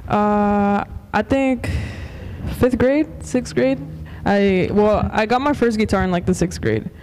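A giggly young woman from Los Angeles talks about her music in a loose, rambling way, restarting her sentences often. Her voice sits at a middle pitch for her. She holds a handheld mic, with a faint hiss underneath. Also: takes it slowly, darkish tone. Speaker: singer, guest. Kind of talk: casual interview chat.

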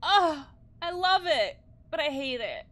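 A woman, perhaps in her thirties, giving expressive, exclaiming reaction commentary as she plays a video game. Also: high-pitched, a little slow. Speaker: counselor playing and commenting on a game. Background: game music.

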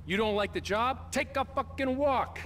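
An actor playing a smug, theatrical rich boss in a video game cutscene, mocking, flamboyant and foul-mouthed. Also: male voice, sits high, very animated, moderate pace, fairly bright tone, a little hiss or hum.

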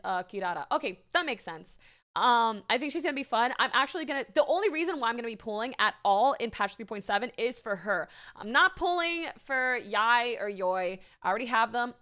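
A woman's voice: on the high side, a bit bright. A young woman streamer giving casual, chatty, gushing reaction commentary into a desk microphone at home. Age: young adult. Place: small home streaming room, indoors. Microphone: desk microphone on a boom arm.

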